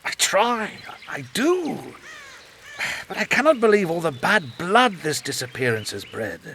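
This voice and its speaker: Sorrowful fantasy game elf: slow, fairly high, creaky, anguished. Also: male voice, very animated, bright, forceful tone, slight background noise, exaggerated voice. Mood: grieving. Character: grieving, bitter male elf, anguished and earnest. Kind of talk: fantasy video game dialogue.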